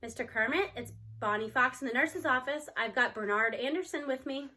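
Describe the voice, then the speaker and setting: A woman's voice: sits high, brisk, pushed and bright. A woman voicing a concerned, chatty school nurse speaking over the intercom in a children's storybook.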